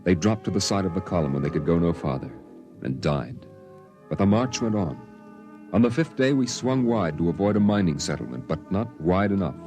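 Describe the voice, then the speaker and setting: A man narrating in a measured, somber way as the grown boy recalls the story, in 1950s radio drama style, from an old broadcast with music beneath. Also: low-pitched, animated.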